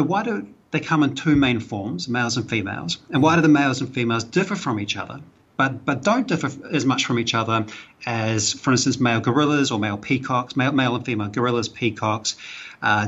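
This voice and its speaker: A man with a New Zealand accent talks through ideas at length, pausing on fillers and restarting phrases. His voice is husky and mid-pitched. The sound is muffled and lo-fi, like a remote call. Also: a bit bright. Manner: thoughtful, explanatory, with frequent fillers. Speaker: guest, author and psychology professor. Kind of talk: podcast interview.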